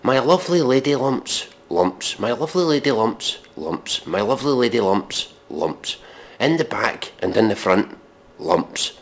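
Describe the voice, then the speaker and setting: A man giving a mock dramatic reading in deadpan, with exasperated, scornful, sweary commentary. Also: mid-range voice, measured pace, creaky, a little hiss or hum. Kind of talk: deadpan recitation of pop lyrics with sweary commentary.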